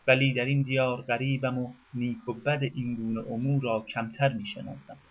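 A man narrating a scripted radio program in a measured, formal way, slowing down to recite classical verse. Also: medium pitch, lo-fi sound.

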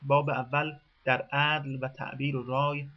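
A man reads documentary narration in a measured, formal manner. His voice sits at a middle pitch. The sound is somewhat dull and lo-fi, like an older radio program. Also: little pitch movement.

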